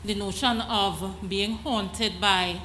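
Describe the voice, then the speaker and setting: A woman in the audience asking a question from the floor, hesitant and halting, correcting herself as she goes. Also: mid-range voice, steady tone, bright, forceful tone, a little hiss or hum. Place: festival venue. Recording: echoey hall.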